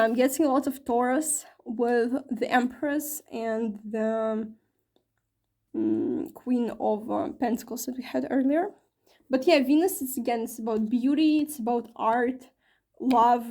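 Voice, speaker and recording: A woman's voice: fairly high pitch, unhurried, mellow. A woman giving a casual tarot reading to her viewers, chatty and full of fillers and self-corrections, her voice a little strained from talking for a long time. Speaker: tarot reader.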